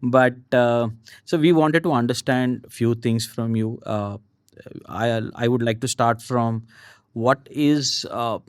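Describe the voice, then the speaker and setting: A man's voice: mid-range voice, slow, clear, resonant tone, no room sound, clean studio sound. A man hosting a podcast interview, hesitating often and affirming his guest. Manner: hesitant, many fillers, warm affirmations. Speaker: podcast host.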